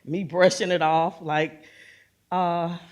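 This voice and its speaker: A woman's voice: fairly low pitch, little pitch movement, slow pace, darkish tone. A woman in the audience, a veteran's wife, speaking informally about her family's experience in a rambling, storytelling way. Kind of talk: informal remarks at a forum.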